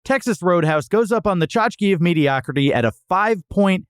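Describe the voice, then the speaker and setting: A man's voice: sits high, lively intonation, moderate pace, smooth, dry recording, studio quality. A man hosting a casual, comedic podcast, trading banter as he reviews restaurants.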